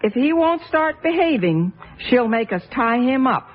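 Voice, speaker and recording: A woman's voice: high-pitched, big pitch swings, measured pace, full character voice. An actress playing a worried mother in a radio drama, firm and scolding but caring toward her sick son. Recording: dull lo-fi old radio recording.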